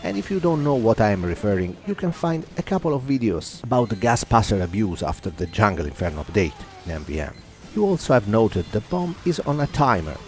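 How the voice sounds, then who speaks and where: A man's voice: lowish voice, darkish tone. A man giving a formal, wordy voice-over commentary over his own gameplay video. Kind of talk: scripted voice-over narration.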